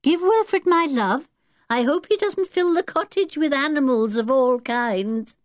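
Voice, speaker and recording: Older British lady for a children's storybook, played by a woman: genteel, kindly, a fretful chatterer with lively intonation. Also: high voice, a little slow, dry recording, studio quality, pitched above the performer's own voice. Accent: British English. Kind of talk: children's audiobook character acting.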